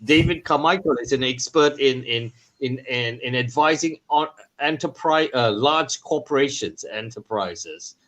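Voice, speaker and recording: Man with a mid-range voice, measured. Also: mid-range voice, a bit bright. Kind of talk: online panel discussion.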